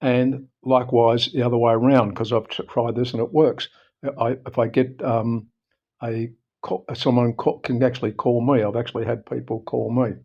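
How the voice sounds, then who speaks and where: A man with an Australian accent talks through an informal how-to in a halting, explanatory manner. His voice is mid-pitched with a lot of gravelly creak, and he often repeats himself. The recording sounds a bit dull and muffled. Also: little pitch movement. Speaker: presenter of a phone how-to video. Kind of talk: informal unscripted tutorial talk.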